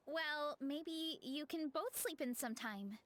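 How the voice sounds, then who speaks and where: A woman's voice: high voice, animated, slow pace, a bit bright, exaggerated voice. A woman voicing a sweet, sleepy, easygoing young farm girl in an anime game. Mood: relaxed, sheepish.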